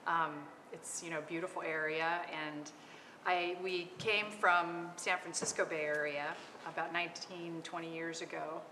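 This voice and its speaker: A middle-aged woman with a low voice speaks hesitantly, filling her pauses with ums. She is on a live panel in an art gallery, and her voice rings with a long reverb over a clear hum of noise. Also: fairly even intonation, pushed and bright. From California. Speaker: panel artist. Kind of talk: unscripted panel talk.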